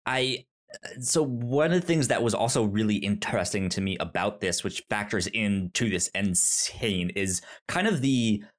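A man's voice: lowish voice, expressive, moderate pace. A man co-hosting a podcast, chatting casually about a film, who often stammers and restarts his words. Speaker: podcast co-host. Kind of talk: casual chat.

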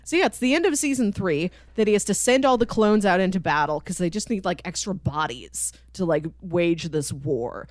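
A woman's voice: fairly low pitch, animated, normal speed, hardly any pauses, no room sound. Woman chatting casually, dry close sound. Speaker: podcast co-host. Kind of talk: casual conversational TV show discussion.

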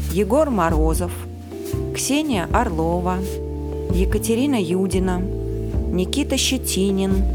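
A woman announcing the credits of an audio play as a scripted list. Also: mid-range voice. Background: loud music.

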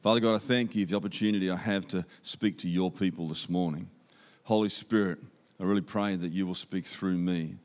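A man's voice: sits low, fairly even intonation, fairly quick, hardly any pauses, darkish tone. A man with an Australian accent giving a church sermon as a guest speaker, upbeat and conversational, repeating key phrases for emphasis. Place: church auditorium. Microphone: handheld microphone.

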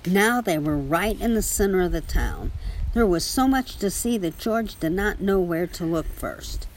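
Lower-voiced woman reading a children's story. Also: unhurried, slight background noise, rough recording. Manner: expressive storytelling with small spoken asides. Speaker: story reader. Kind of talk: children's picture book read aloud.

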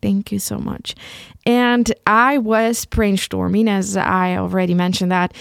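A young Ukrainian woman with a Ukrainian accent, speaking English as a second language. She hosts a solo podcast and talks in a halting way, restarting and repeating words often. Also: mid-range voice, level tone, creaky, no echo, studio quality. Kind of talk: casual solo podcast monologue.

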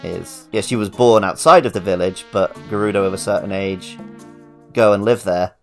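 Low-pitched man chatting on a podcast. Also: normal speed, faint music behind. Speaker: podcast co-host. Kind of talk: casual video game podcast chat.

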